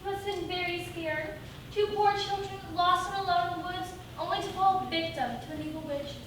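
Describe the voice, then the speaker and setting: Woman playing very high-voiced earnest prosecutor. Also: fairly even intonation, a little fast. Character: earnest, dramatic prosecutor in fairy-tale trial. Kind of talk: theatrical stage comedy acting. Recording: distant, very echoey, noisy stage recording.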